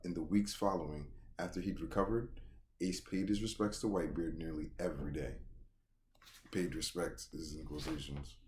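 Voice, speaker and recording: Male read-along host, low voice, noisy recording, casual narration mixed with animated slangy asides. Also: normal speed. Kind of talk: casual reading aloud with excited reactions and commentary.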